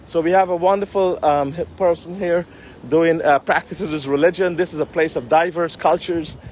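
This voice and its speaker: A man with a high-pitched voice addresses viewers as a community leader on a live stream. His phrasing is earnest and formal, like a public speaker. Faint street and vehicle noise runs behind him outdoors. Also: steady tone, moderate pace, a little mellow. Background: street ambience and traffic.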